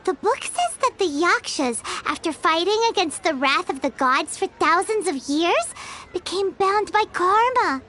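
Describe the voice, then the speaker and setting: A woman's voice: animated, a little slow, slight background noise, full character voice. A woman voicing a tiny, curious fairy companion in a video game, who talks about herself in the third person. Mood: thoughtful. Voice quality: high, bright and childlike. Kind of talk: game dialogue. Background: game music.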